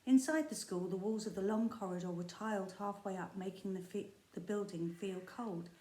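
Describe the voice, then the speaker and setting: A woman primary school teacher reading a children's book aloud, expressive and storytelling, with the odd slip. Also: mid-range voice, moderate pace. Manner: expressive storytelling with small slips and asides. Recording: echoey room.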